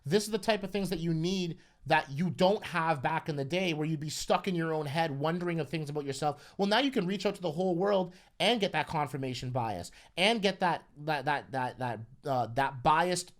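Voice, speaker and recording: Earnest, fairly high-voiced man talking at length. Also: moderate pace, few pauses. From Caribbean family background. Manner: earnest, articulate, builds long run-on points. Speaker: podcast co-host. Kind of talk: casual podcast discussion.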